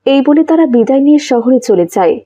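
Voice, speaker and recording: Children's story narrator, female: higher register, husky, soft-toned, slightly muffled dry track. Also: animated, brisk, no room sound.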